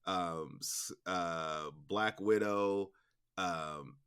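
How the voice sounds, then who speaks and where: A man's voice: low-pitched, extremely slow, fairly bright tone, clean tone. A man speaking casually as an interview guest, rambling through stories with slangy asides. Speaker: interview guest, audio drama producer.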